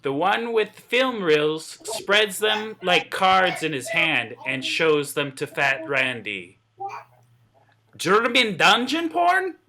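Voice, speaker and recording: A man's voice: fairly high pitch, takes it slowly, creaky, hardly any pauses, sharp tone, slight background noise. A man reading scripted narration aloud in an informal read-through, with the odd slip and stumble. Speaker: table reader of script narration and dialogue.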